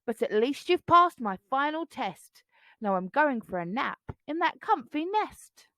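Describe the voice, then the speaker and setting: A woman voicing a cheeky, boastful young boy dinosaur for a storybook, teasing and shouty. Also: sits high, big pitch swings, measured pace, dry recording, heavily acted.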